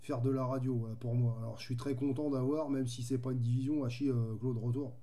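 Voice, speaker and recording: Man with a very dark, mellow voice. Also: mid-range voice, fairly even intonation, fairly quick. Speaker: amateur radio operator. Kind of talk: casual radio chat.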